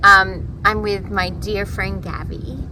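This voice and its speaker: Woman podcast host, bubbly and chatty, a bit off-mic, loud outdoor noise. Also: mid-range voice, fairly even intonation, slow pace, recorded from a distance. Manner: bubbly, chatty, lots of fillers. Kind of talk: casual interview chat. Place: outdoors on a beach. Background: loud outdoor noise, boats and aircraft.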